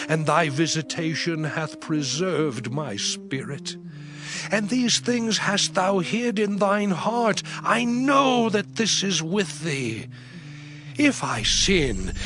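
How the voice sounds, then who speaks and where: A man's voice: a little high, fairly bright tone. A man voicing Job, anguished and pleading. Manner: lamenting, solemn King James delivery. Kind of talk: dramatized scripture reading. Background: music.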